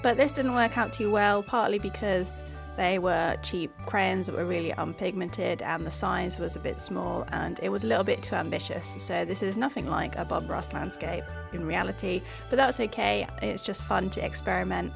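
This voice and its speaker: Croaky woman with a cold. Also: on the low side, moderate pace, over music. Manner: chatty and self-deprecating. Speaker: art channel host. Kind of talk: casual voice-over narration.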